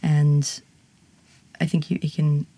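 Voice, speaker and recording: Woman, low and gravelly, frank interviewee, laughs easily, dry sound. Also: level tone, slow, dark tone, no echo. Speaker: burlesque singer, interviewee. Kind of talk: candid interview conversation.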